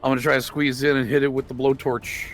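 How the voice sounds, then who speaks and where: A man's voice: mid-range voice, flat delivery, quick pace, faint music behind. A man chatting casually as a player in a tabletop game.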